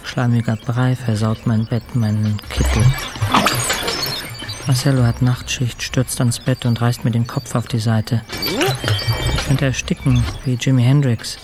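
An actor playing a middle-aged intensive-care patient, narrating his ordeal soberly in terse, deliberate phrases for a radio drama. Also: medium pitch, level tone, loud music behind the voice, lightly acted. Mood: weary, sober.

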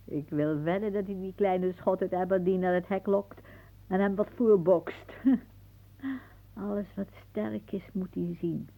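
An actress playing a wry, imaginative old aunt in a Dutch radio play, musing theatrically with pauses. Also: female voice, mid-range voice, fairly even intonation, natural voice. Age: older. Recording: muffled phone-like old radio recording.

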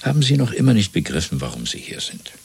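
A cold male interrogator in a radio drama, deep and very husky with a gravelly edge. He speaks deliberately and patronisingly, swinging widely from soft whispers to hard commands with fanatical certainty. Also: huge pitch swings, heavily acted. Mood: menacing zeal. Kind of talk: 1970s German radio play acting.

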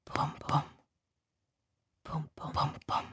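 An actress playing a woman who remembers her lost lover, narrating a literary radio drama in a hushed, deliberate, intimate way. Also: in a whisper, close to an everyday voice. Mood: hushed, tender. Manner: hushed, deliberate, often breathy.

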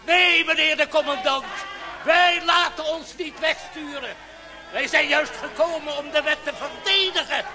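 A man playing a fiery, defiant leader of armed insurgents in a radio drama, declaiming in shouts. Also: very high voice, a little slow, music in the background. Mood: defiant, rousing. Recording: outdoor crowd noise behind.

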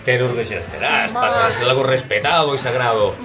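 A man's voice: medium pitch, animated, quick pace, close to an everyday voice. A man playing a rousing guide in comic audio theatre, exhorting and commanding his listeners in declamatory style. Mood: exalted. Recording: loud music and crowd behind.

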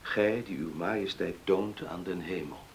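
An actor playing an earnest, blunt grown farm son in a Dutch radio play. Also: male voice, sits low, unhurried, creaky. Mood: solemn reading. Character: plain-spoken, dutiful grown son on a farm. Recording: noisy old radio play recording.

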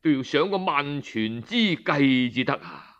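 A man voicing a kindly, courteous old physician living as a hermit, gently reassuring in a Cantonese storytelling style. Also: mid-range voice, expressive, unhurried, lo-fi sound. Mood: gentle, reassuring. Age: older.

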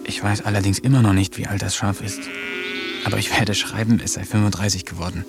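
A man narrating a radio play as a diary, slow, subdued and introspective. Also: on the low side, steady tone, gravelly, loud music behind the voice. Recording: noisy background. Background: ambient noise.

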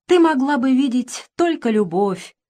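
A woman's voice: mid-range voice, lively intonation, moderate pace, natural voice. A woman voicing a hot-tempered, anguished grown son, a nobleman making impassioned accusations in a dramatic story reading.

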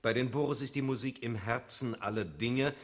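Man playing a stuffy professor giving a solemn radio play lecture, mid-pitched voice, very formal and pedantic. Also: moderate pace, natural voice. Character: formal academic lecturer presenting exotic music research.

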